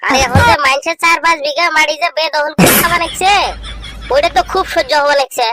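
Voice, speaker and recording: A woman's voice: high voice, quick pace, over loud music, lightly acted. A woman playing an aggrieved poor villager in an amateur comedy skit, complaining insistently in a rural Bengali dialect. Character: aggrieved poor villager scheming over family land. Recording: noisy amateur recording with clicks.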